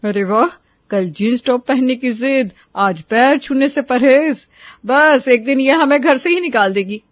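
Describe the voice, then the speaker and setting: A woman voicing a judgmental middle-aged neighbourhood aunt who taunts and scolds, in an animated story. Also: sits high, a little slow, dry recording, muffled recording. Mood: taunting, complaining. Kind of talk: Hindi animated moral story dialogue.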